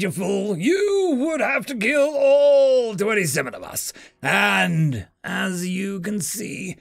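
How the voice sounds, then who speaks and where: A man voicing a gloating tentacled male alien commander who shouts his taunts slowly and shrilly. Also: sits high, vocal fry, voice pushed up, heavily acted.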